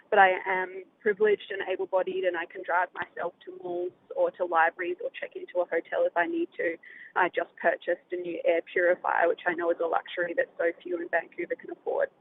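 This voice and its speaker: A speaker with an Australian accent giving an earnest prepared statement to a city council. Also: female voice, fairly low pitch. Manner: earnest, read-out statement with rising inflections. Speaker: public speaker at a council meeting. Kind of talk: prepared public comment. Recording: tinny phone line with faint noise.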